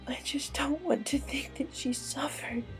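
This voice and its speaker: A woman's voice: high-pitched, a little slow, over music. A woman voicing a grieving, anxious young female pony in a story, with a shaky, tearful, hesitant voice. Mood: fragile, pleading. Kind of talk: dramatic fan-fiction story reading.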